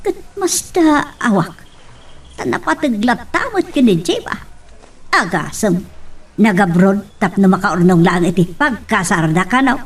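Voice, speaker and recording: A woman's voice: medium pitch, sing-song, a little slow, recorded from a distance. A woman with an Ilocano accent playing a disapproving, worried middle-aged mother in an amateur audio drama. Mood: resigned, bantering. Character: fretful, disapproving Ilocano mother worried about her son.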